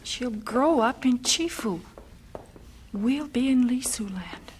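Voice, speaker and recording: High-voiced, anxious missionary wife. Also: female voice, expressive, takes it slowly, a little hiss or hum. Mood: distressed, weary. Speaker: actor in an audio drama. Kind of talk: dramatized audio drama dialogue.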